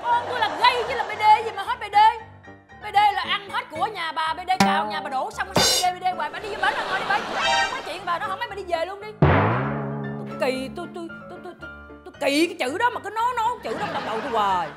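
A woman's voice: extremely high pitch, big pitch swings, quick pace, loud music behind the voice, a loud crowd. An actress playing a touchy, boastful middle-aged rich mother in a comedic drama, scolding and exclaiming in a Southern Vietnamese accent. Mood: exasperated.

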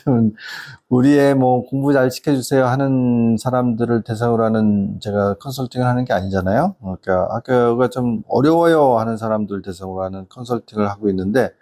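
A middle-aged man with a gravelly, creaky voice and a dark, relaxed tone. He speaks as a consultant hosting an informal explainer for parents. His delivery is earnest and discursive, pausing to find the next phrase. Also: mid-range voice. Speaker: educational consultant and channel host.

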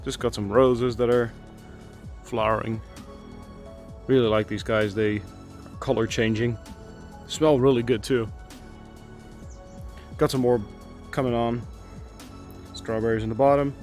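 A man's voice: mid-range voice, steady tone, unhurried, pauses often, a little mellow, soft background music. A man giving a casual, enthusiastic tour of his home garden outdoors, chatting with fillers as he films on a handheld camera. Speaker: gardening channel host.

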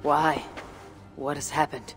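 Low, resolute Viking warrior. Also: female voice, steady tone, slow, soft background music. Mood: grave. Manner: grave, determined. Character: resolute, pragmatic female Viking warrior leader. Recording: long hall reverb.

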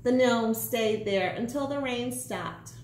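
A woman's voice: fairly high pitch, takes it slowly, darkish tone, roomy sound, slight background noise, low-quality recording. A woman in her forties, a teacher, reading a children's picture book aloud in a small room.

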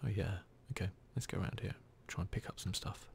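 Hushed, low-voiced man with gravelly creak. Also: steady tone, a little fast, a little hiss or hum. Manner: hushed, often dropping into whispers. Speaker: gaming video host. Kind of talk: ASMR gameplay commentary.

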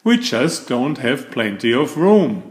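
Man voicing a mother turtle, kind, practical, storybook dialogue, declarative, animated pitch. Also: slow pace, roomy sound.

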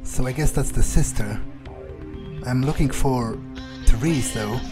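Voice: mid-range voice, unhurried. A gamer doing in-character roleplay commentary in a casual, hesitant mutter. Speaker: gameplay streamer playing in character. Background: game music.

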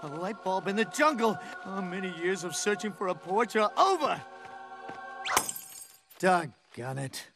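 An excitable, naive cartoon jungle animal. He has a high, creaky, animated voice and drawn-out pacing. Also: music in the background, full character voice. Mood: excited. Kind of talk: cartoon voice acting.

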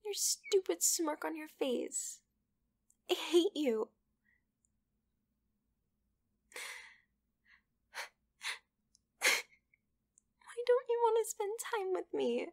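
A bratty, possessive young girlfriend, acted by a woman for an ASMR roleplay, speaks in a high, breathy voice that often slips toward a whisper. She is pouty and demanding, drawing her words out slowly and haltingly, with a creaky edge. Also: sing-song, exaggerated voice. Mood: angry, hurt. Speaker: voice actress in a roleplay.

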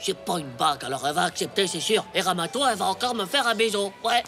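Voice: mid-range voice, fast, sharp tone. Cocky cartoon kid, about ten, emphatic and whiny-indignant, music under it. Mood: boastful. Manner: emphatic, childish slang, indignant. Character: boastful, scheming, excitable ten-year-old schoolboy. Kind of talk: French animated comedy acting.

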